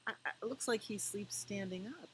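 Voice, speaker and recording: A woman hosting a library storytime for young children, reading and chatting in a gentle, conversational way with questions for her listeners. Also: mid-range voice, fairly quick, a little hiss or hum. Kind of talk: children's picture book read-aloud and chat.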